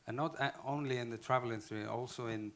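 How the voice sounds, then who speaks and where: A man's voice: mid-range voice, fairly even intonation, a bit bright. A man with an Icelandic accent giving a conference talk in English, often restarting and correcting himself. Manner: halting, restarts phrases, self-corrects. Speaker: conference speaker.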